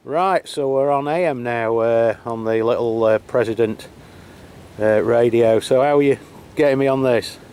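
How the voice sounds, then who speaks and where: A man's voice: mid-range voice, normal speed, noisy background. A man hosting a radio test, chatting casually and hesitantly outdoors in the rain, caught on a camera mic. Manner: relaxed, hesitant, many fillers. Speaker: channel host testing CB radios. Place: outdoors on a rainy hilltop. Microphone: camera mic while holding a handheld radio.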